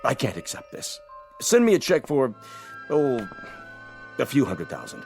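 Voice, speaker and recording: A smooth, persuasive college president. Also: male voice, medium pitch, huge pitch swings, unhurried, a little mellow, faint music behind. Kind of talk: radio drama acting.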